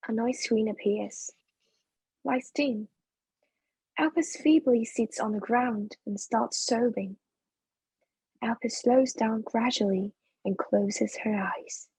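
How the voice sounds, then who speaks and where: Slow, very husky woman narrator. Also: on the high side, darkish tone, dry recording, low-quality recording. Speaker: reader of stage directions. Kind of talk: scripted narration for a play reading.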